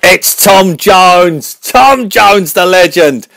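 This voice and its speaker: A man hosting a comic radio interview show, speaking in a halting way and often repeating his phrases. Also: sits high, expressive, gravelly, a bit bright.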